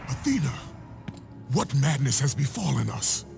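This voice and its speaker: An actor voicing an angry, weary Spartan warrior in a video game, gravelly, defiant and intense. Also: male voice, medium pitch, very animated, moderate pace. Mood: desperate. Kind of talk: dramatic video game acting. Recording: loud music behind the voice.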